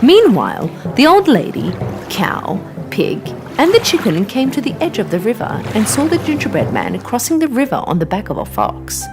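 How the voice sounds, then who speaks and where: A woman narrating a children's story in an animated, expressive way. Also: fairly high pitch, moderate pace. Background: music.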